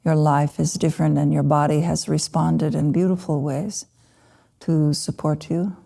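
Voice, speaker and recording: A woman with a low, gravelly voice leads a guided meditation. Her tone is dark and mellow, and she speaks as a teacher in short phrases with unhurried pauses. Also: flat delivery. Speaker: Buddhist meditation teacher (lama).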